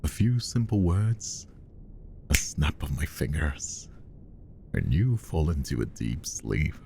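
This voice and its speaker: A man playing a possessive, menacingly tender magician hypnotist in roleplay ASMR, speaking softly and coaxingly, often slipping into whispers. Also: big pitch swings, slow pace, slight background noise, full character voice. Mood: hypnotic, coaxing. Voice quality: soft, very deep, gravelly. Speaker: voice actor.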